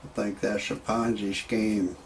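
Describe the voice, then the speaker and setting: A man's voice: on the low side, flat delivery, unhurried, a little hiss or hum. A man in his sixties, talking in a rambling way, repeating words as he goes, first with opinions and then with stand-up jokes. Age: 60s. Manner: rambling, repeats words while searching for a point. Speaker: video blogger.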